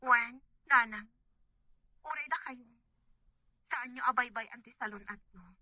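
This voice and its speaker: Woman playing a bright, worried Ilocano mother. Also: fairly high pitch, measured pace, no room sound, clean studio sound, full character voice. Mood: worried, affectionate. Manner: earnest, concerned. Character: worried, devoted young mother working abroad. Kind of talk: audio drama acting.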